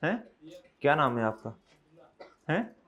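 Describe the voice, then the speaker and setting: A man, lecturing accounting students in a hall and loudly teasing and scolding them. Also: medium pitch, big pitch swings, takes it slowly. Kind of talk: lively classroom lecture.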